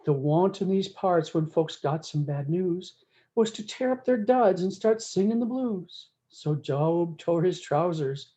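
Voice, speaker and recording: A woman's voice: on the low side, lively intonation, unhurried, mellow. A woman reading a rhyming cowboy storybook aloud in a folksy way, with short asides to her listeners. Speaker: story time host reading a picture book.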